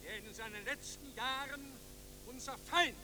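A man's voice: very high voice, background noise. An actor playing a fervent male communist giving a declamatory, emphatic graveside speech in a radio play. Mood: grave, accusing. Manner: declamatory, emphatic, halting for effect.

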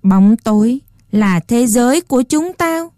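A woman voicing a smug, swaggering male rat who mocks and tempts in a low, sly voice. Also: expressive, a little slow, warm tone, dry recording, studio quality. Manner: mocking, chuckling, boastful.

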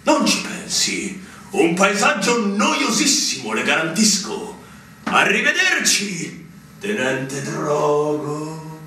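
An actor playing an officious veteran fortress officer in a radio drama, emphatic and declamatory. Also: male voice, on the high side, big pitch swings, slow pace, sharp tone, heavily acted. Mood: officious, dismissive. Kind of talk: theatrical radio drama acting in Italian. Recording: very echoey, distant, faint noise.

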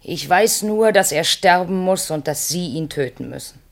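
An actress playing a commanding, fatalistic seductress in a solemn 1960s German radio play, speaking with deliberate, incantatory phrasing. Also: female voice, fairly low pitch, animated. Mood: fatalistic, insistent. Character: commanding, fatalistic seductress demanding her husband's death.

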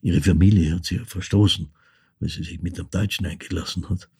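Casting: a reflective older Austrian man, very husky, halting delivery, for a dramatic monologue. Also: low-pitched, steady tone, heavily acted. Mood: pensive, subdued. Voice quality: gravelly, husky. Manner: halting, deliberate, hushed, broken phrasing. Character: reflective ageing man recounting his wartime childhood. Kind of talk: literary monologue, dramatic storytelling.

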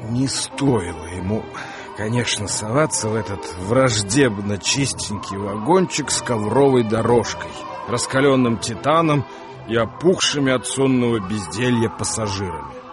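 A man's voice: fairly low pitch, expressive, moderate pace. A man narrating a story for a radio play in a dramatic, wry, colloquial way. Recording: music bed behind the voice.

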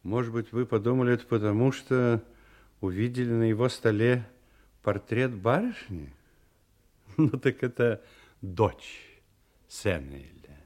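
An actor playing an affable, chatty chief mate of a sailing ship in an audio drama, conversational and gossipy. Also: male voice, mid-range voice, slow, pauses often, darkish tone, lightly acted. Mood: amused, curious.

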